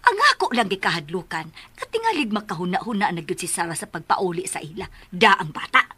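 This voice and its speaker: A woman's voice: on the low side, sing-song, a little fast, pushed and bright, breathy edge, no echo, full character voice. A woman in a Visayan Filipino radio drama playing a middle-aged, bossy, short-tempered matriarch. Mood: stern. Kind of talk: broadcast radio drama acting.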